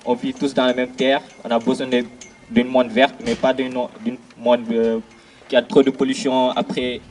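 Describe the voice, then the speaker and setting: A young man answering an interview in a halting, quiet manner, correcting himself often. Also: medium pitch, level tone, low-quality recording.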